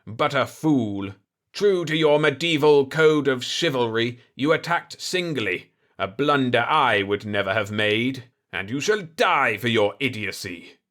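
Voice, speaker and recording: A man's voice: medium pitch, animated, measured pace, a bit bright, pitched above the performer's own voice. Man acting a pompous, menacing desert potentate. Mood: outraged, menacing. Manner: florid, theatrical threats.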